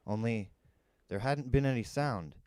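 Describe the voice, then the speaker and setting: A man's voice: medium pitch, a little slow, darkish tone, clear, resonant tone, no room sound. A man narrating a first-person adventure novel in a dramatic storytelling style. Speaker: storyteller reading an audiobook.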